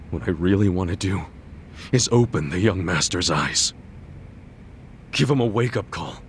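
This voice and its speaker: A man's voice: low-pitched, lively intonation, takes it slowly, pauses often, a little hiss or hum, exaggerated voice. An actor playing an earnest, brash, good-hearted yakuza man in a game dub, talking in a casual, slangy way. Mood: earnest resolve. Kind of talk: English game dub cutscene acting.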